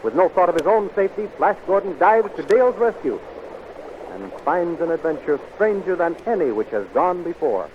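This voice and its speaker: An actor as a dramatic 1930s radio serial announcer, narrating a space adventure in a grand, emphatic manner on a noisy old radio recording. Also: male voice, sits high, sing-song, moderate pace, narrow, tinny audio. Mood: grand, suspenseful.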